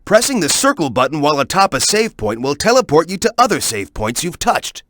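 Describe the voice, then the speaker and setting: A cocky young guy from a video game, with a fairly high voice that swings freely up and down. He hands out tips and encouragement in a casual, peppy manner, like a sidekick guiding the player. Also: sing-song, brisk, pushed and bright, no room sound, clean studio sound, full character voice. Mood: helpful, instructive.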